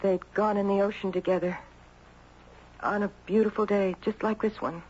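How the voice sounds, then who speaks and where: An actress playing a gracious, melancholy mother, speaking in a hesitant, measured and dramatic way in a 1970s radio drama. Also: mid-range voice, steady tone, darkish tone, close to an everyday voice. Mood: grave, haunted. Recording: dull noisy 1970s radio broadcast.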